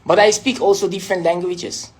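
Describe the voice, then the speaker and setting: A woman's voice: fairly low pitch, steady tone, brisk. A woman with a Persian accent, an entrepreneur from Iran giving a casual motivational talk on a live stream, rambling and filling every phrase with "you know".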